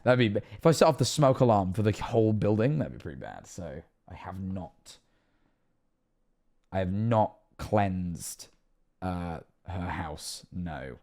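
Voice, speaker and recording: A young streamer in his twenties talks from his bedroom, his somewhat low voice rough and hoarse as it wears out. He rambles, cuts himself off and lets sentences drop away into mutters. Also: lively intonation, normal speed. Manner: rambling, self-interrupting, trails off quietly. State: losing his voice, rough throat. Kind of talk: casual reaction stream chat. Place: bedroom streaming setup indoors. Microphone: headset on a streaming setup.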